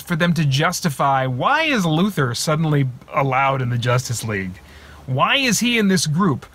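A man in his thirties with a slightly high voice sits in his parked car and talks into a phone held at arm's length. He rambles as he thinks aloud, often pausing and restarting. The sound is close and plain, as in a small car cabin. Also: a bit bright. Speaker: movie reviewer and channel host. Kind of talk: casual spoiler talk to camera. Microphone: phone camera at arm's length.

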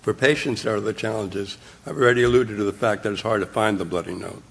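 A man's voice: on the low side, quick pace, gravelly, a bit bright, breathy edge. An older man giving a conference keynote from a podium in a hotel conference room, speaking bluntly and wryly in short, punchy phrases, recorded from the audience. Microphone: podium mic, filmed from the audience.

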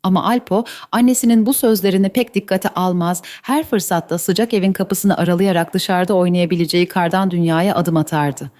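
A woman's voice: lowish voice, fairly even intonation, a little fast, hardly any pauses, a little mellow, no echo. A woman narrating a scripted children's story as the storyteller. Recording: faint background noise.

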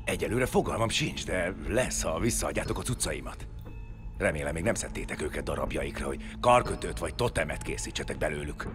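An actor playing an arrogant, contemptuous outsider held captive, speaking with scornful superiority in an audio drama. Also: male voice, a bass voice, expressive, over music. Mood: bargaining, wry.

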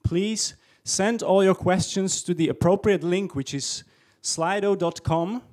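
A man with a fairly high voice moderates a conference session. He speaks in a formal, organized way. His voice comes through a handheld mic in a large lecture hall. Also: measured pace, darkish tone. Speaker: event moderator. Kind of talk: announcing the next speaker.

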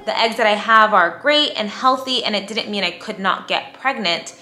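A woman, chatting in a bubbly, talkative way as she vlogs to camera in a bright room at home. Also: medium pitch, normal speed, echoey room, soft background music. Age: 30s. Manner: bubbly, chatty, talks with her hands. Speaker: lifestyle vlogger. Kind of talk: casual talk to camera.

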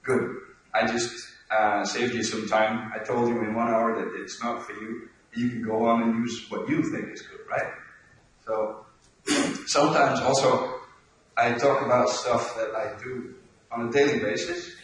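A Dutch man in his forties with a Dutch accent, giving a relaxed, joking conference talk in a large lecture hall. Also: mid-range voice, a little slow. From Netherlands. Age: 40s. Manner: joking, self-deprecating, chuckles often. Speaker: conference speaker. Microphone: room mic, speaker walking around stage. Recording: echoey hall, voice from far off.

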